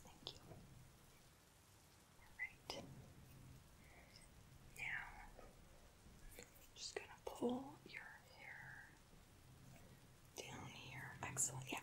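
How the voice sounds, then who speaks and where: A young woman speaks in a soft, breathy whisper, close to the mic. Her voice sits a little high, and she is gentle and reassuring, pausing softly as she plays a personal-attention hairdresser for ASMR. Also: rough recording. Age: young adult. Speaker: ASMR roleplay host as hairdresser. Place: indoor room at home.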